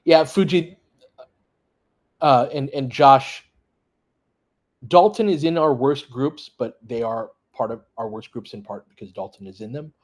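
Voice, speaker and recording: A man with a husky, slightly rough voice at a middling pitch hosts a casual basketball watch-along. He talks off the cuff in short bursts, mixing play-by-play with conversational asides to his listeners. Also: measured pace, pauses often. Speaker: sports livestream host doing fan play-by-play. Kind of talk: casual running basketball commentary, chatting with listeners.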